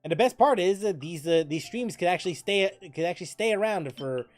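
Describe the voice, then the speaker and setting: A game streamer chatting casually while playing, thinking aloud, repeating phrases and breaking into loud exclamations. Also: medium pitch, expressive, fairly quick, faint music behind. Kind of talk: casual livestream chat and gameplay commentary.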